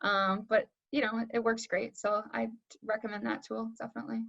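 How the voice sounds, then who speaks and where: A woman's voice: a little high, level tone, fairly quick, gravelly, fairly bright tone, dull audio. A woman moderating an informal panel discussion and giving practical tips.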